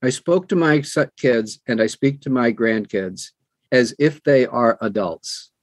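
An older man who narrates audiobooks, talking conversationally in an interview with measured, emphatic phrasing and deliberate pauses. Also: medium pitch, no echo.

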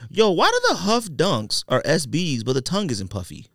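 A man co-hosting a podcast in casual, slangy, expressive banter. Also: a little high, normal speed, dry recording, studio quality. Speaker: podcast co-host.

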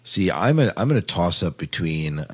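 A man's voice: low-pitched, sing-song, normal speed, slightly rough. A man hosting a podcast in casual discussion, often doubling back to repeat his phrases. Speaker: podcast host. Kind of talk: casual discussion of TV sci-fi characters.

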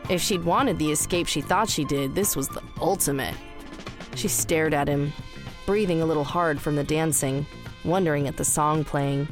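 A woman's voice: low-pitched, moderate pace, soft background music. A woman reading scripted fiction as the narrator of an audio drama.

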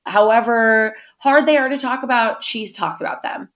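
A woman's voice: fairly high pitch, moderate pace, a bit bright. A woman hosting a podcast, giving an upbeat, chatty introduction and interviewing a guest, with many small fillers. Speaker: podcast host. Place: my town.

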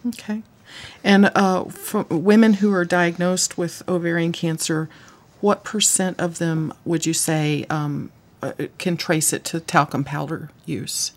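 A woman hosts a health radio talk show. Her voice sits a little low. She asks short, measured questions and smoothly introduces her guests. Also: fairly even intonation. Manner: measured, brief prompting questions. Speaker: host.

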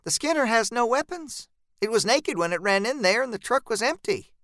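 A man's voice: very high-pitched, expressive, brisk, fairly bright tone, no room sound, clean studio sound, pitched above the performer's own voice. A man voicing a tough, urgent woman monster hunter in an audiobook, with an insistent, clipped delivery. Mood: gently persuading.

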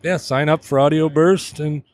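A man's voice: on the high side, steady tone, normal speed, darkish tone, no room sound. A man co-hosting a podcast, chatting casually in loose, easygoing phrases. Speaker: podcast co-host. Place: booth in a very large trade show hall. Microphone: borrowed podcast microphone.

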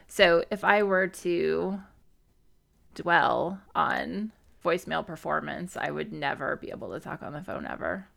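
Middle-aged woman co-host from southern Missouri, candid and reflective, low with lots of vocal fry. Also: unhurried, a bit bright. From southern Missouri, USA. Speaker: podcast co-host. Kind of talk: casual chat.

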